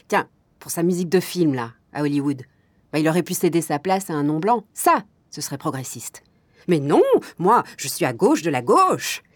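An actress playing a brash, defiant jazz club owner in a naturalistic drama, talking colloquially with a sardonic edge. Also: female voice, fairly low pitch, a little mellow, natural voice. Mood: defiant, heated. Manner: colloquial, sardonic, musing aloud. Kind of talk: naturalistic drama monologue and dialogue.

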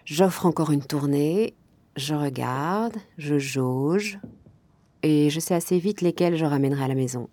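An actress playing a brash, defiant jazz club owner in a naturalistic drama, talking colloquially with a sardonic edge. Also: female voice, sits low, lively intonation, measured pace, warm tone. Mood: musing, wry. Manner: colloquial, sardonic, musing aloud. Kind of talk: naturalistic drama monologue and dialogue.

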